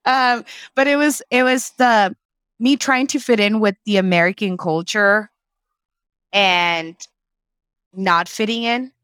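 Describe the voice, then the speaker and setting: A woman's voice: mid-range voice, slow pace, a bit bright, clear, resonant tone, no echo. A woman, reflectively telling her story as an interview guest and slipping Spanish phrases into her English. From Mexico.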